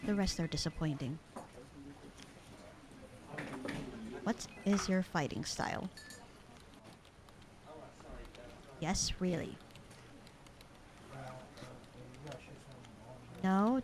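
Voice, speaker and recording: A woman acting a low-voiced, bored sarcastic secretary. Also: normal speed, few pauses, crowd noise, natural voice. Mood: bored, deadpan. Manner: deadpan, dry, teasing. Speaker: voice actor in audio roleplay. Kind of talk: ASMR roleplay acting, casual one-sided conversation.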